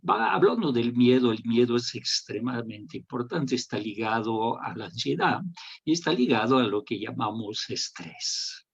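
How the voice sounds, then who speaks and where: Middle-aged French man, husky. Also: medium pitch. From France. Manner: calm, measured, teacherly, poses questions. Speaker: hypnotherapist guest. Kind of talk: explanatory talk on a video call. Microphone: video call. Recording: dull lo-fi call audio.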